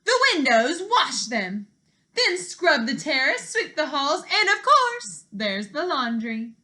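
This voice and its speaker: A woman voicing a haughty storybook stepmother, commanding, with drawn-out emphatic phrasing. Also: high-pitched, sing-song, bright, forceful tone, pitched above the performer's own voice, heavily acted. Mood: bossy. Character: haughty bossy stepmother giving orders.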